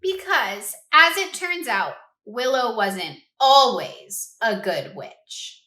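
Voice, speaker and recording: A woman reading a picture book aloud to children in an expressive way, stopping to ask them questions. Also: fairly high pitch, slow pace. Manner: expressive, asks listeners questions. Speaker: read-aloud host for children. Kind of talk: children's storybook read-aloud. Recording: roomy echo.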